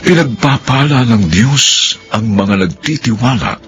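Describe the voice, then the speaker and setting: A man's voice: medium pitch, animated, measured pace, a bit bright, faint music behind. A man reading solemn scripted narration and credits as the announcer of a radio drama, in a dramatic manner.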